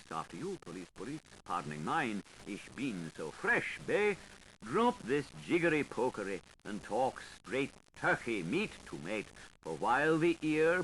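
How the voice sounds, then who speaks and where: A man reads literary fiction with theatrical relish, his mid-range voice rising and falling freely. His phrasing is deliberate and playful, broken by dramatic pauses. The 1980s audiobook recording carries a faint hiss. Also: medium pitch, a bit bright, low-quality recording. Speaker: audiobook narrator.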